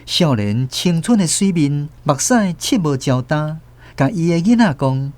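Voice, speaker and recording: A man's voice: on the high side, big pitch swings, measured pace, darkish tone. A man with a Taiwanese accent narrating a first-person story dramatically for a radio drama.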